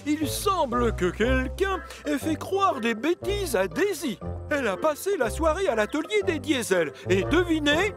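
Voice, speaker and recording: A man voicing a small male steam engine in a French cartoon dub, measured and scolding. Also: very high voice, sing-song, over music, heavily acted. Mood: stern.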